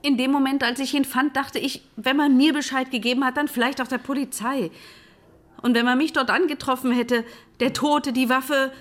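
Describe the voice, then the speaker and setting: A woman's voice: sits high, steady tone, normal speed, lightly acted. Woman playing a proud, controlled murder suspect. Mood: controlled, indignant. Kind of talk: radio drama acting.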